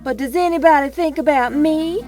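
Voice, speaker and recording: A woman's voice: high-pitched, normal speed, a little mellow, soft background music, lightly acted. A woman playing a haughty, self-pitying young heiress in an audio soap drama. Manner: petulant, drawn out.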